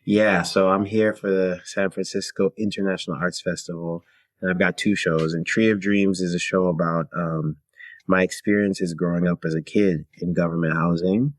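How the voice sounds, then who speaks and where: A man with a very deep voice speaks as a guest in a relaxed interview. He comes from Canada and thinks aloud in short, halting phrases. The sound is very dry, with next to no room in it. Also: dry recording. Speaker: guest, singer-songwriter and theater performer. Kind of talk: casual interview conversation.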